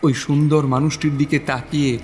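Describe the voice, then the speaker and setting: A man's voice: on the high side, flat delivery, normal speed, darkish tone, slight background noise. A man narrating a horror story in a theatrical, suspenseful style.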